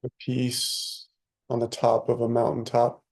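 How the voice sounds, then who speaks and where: A man leading a guided meditation as a teacher, speaking soothingly in short, unhurried phrases with long pauses. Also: medium pitch, monotone, darkish tone, rough recording.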